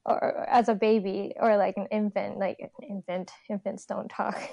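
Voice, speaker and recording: A woman's voice: mid-range voice, steady tone, a little slow, gravelly, warm tone, no echo, studio quality. A woman in casual conversation with a middle-range voice, wandering through her thoughts with restarts and small laughs at herself. Japanese was her first language. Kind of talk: casual chat.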